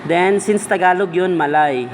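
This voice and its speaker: A man's voice: high voice, unhurried, a little hiss or hum. A man with a Filipino accent giving a casual step-by-step tutorial, talking informally as if to a buddy. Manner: informal, addresses viewer as a buddy. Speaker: video editing tutorial presenter.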